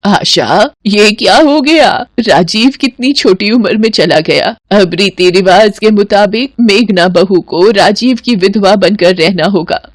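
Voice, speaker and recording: A woman's voice: mid-range voice, measured pace, hardly any pauses, no room sound, clean studio sound, lightly acted. A woman playing a stern, scornful old great-aunt in an audio family drama, scolding and imperious. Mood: grave, commanding.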